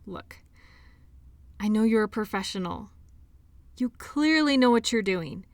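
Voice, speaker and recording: A woman acting an earnest, defensive and lonely sports doctor in an intimate audio drama, speaking in an emotional, halting way. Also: mid-range voice, expressive, dry recording. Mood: earnest, wistful. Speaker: audio roleplay performer.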